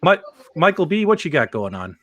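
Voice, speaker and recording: A man's voice: fairly high pitch, big pitch swings, a little fast, background noise. A man hosting a casual livestream show, chatting and explaining things to co-hosts and viewers.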